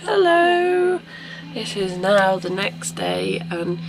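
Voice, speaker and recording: A woman's voice: mid-range voice, very animated, slow pace, over music, poor audio. A young woman, chatting casually in a holiday vlog with dry, wry humour. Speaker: vlogger. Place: on the move, outdoors and in a car while on holiday.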